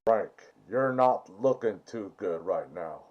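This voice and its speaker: A man voicing a stern villain boss in a skit, formal, cold and measured, over dull, muffled sound. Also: fairly low pitch, animated. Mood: coldly disapproving.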